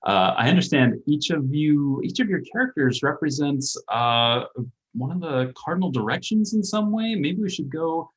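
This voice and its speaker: Deadpan co-host with a lively voice. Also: mid-range voice, moderate pace, breathy edge, low-quality recording. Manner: dry, understated, deadpan reactions. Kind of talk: hosting a livestream show.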